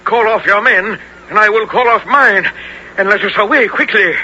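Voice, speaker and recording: An actor playing a bold, hearty English captain in a 1970s radio drama, declaiming in archaic formal speech. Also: male voice, high-pitched, normal speed, natural voice. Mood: courteous, resolute. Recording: dull, faintly noisy old radio recording.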